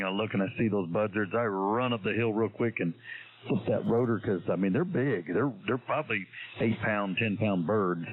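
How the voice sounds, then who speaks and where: A man's voice: on the low side, a little hiss or hum. A Texan man in easygoing, folksy radio chat, heard through a tinny radio transmission. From Texas, USA. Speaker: radio operator.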